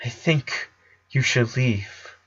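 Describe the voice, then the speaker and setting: A man's voice: medium pitch, slow pace, fairly bright tone, breathy edge, highs cut off. Man playing a stubborn, weary young boy. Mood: weary, sullen. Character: sick eleven-year-old boy, stubborn, weary, snappish.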